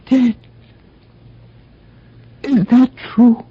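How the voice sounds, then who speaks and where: Fervent doting mother, husky. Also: fairly high pitch, steady tone, drawn-out words, heavily acted. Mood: shocked. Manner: fervent, pleading. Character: emotional doting mother of ancient Greece. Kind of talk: melodramatic radio drama acting. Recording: muffled old radio drama broadcast.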